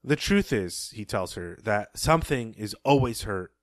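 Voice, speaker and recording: A man with a lowish voice talks through a story's plot for a podcast. He explains as he goes and adds small personal asides. The sound is close and dry, with almost no room in it. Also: expressive, measured pace, no echo. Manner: thoughtful, explanatory, with occasional asides. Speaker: podcast host. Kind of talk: recapping a story.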